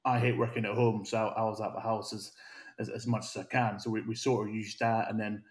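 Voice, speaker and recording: A man, a recruitment company co-founder, chatting casually and matily as a podcast guest, with a voice he calls loud. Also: lowish voice, flat delivery. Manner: brisk, matey, says he has a loud voice. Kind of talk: casual podcast interview talk.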